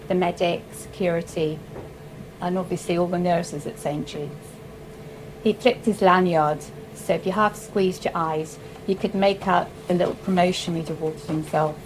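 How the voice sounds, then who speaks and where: A woman from South Wales reading her own short story aloud in a measured, unhurried way with soft, dry humour. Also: on the low side, slight background noise, low-quality recording.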